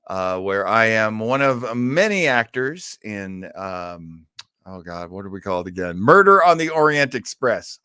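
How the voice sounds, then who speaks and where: A man's voice: mid-range voice, bright, forceful tone, no room sound, studio quality. An American man hosting a casual radio fan show, speaking in a theatrical, effusive and self-mocking manner. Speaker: host. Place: indoors at home.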